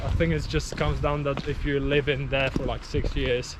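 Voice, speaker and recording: A man's voice: medium pitch, flat delivery, normal speed. A young man in his twenties with a Czech accent, chatting casually as a local guide with lots of fillers. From Czech Republic. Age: 20s. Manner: rambling, many fillers. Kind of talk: casual chat. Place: town streets outdoors. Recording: outdoor street noise.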